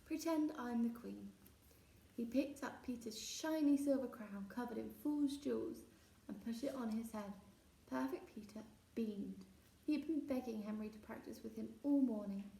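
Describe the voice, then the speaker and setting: A woman's voice: fairly high pitch, normal speed, creaky, mellow. A woman narrating a children's story expressively as a storyteller. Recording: roomy echo, distant mic.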